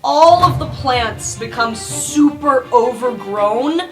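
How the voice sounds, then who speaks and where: A woman's voice: sits high, animated, slow pace, low-quality recording. A woman playing a tabletop game, casually narrating what her character does. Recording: music bed behind the voice.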